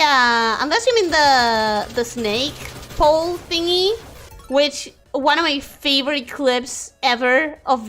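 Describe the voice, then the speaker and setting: A woman's voice: high voice, bright, forceful tone. A young woman whose first language is Spanish, chatting casually while streaming a game and reacting to chat.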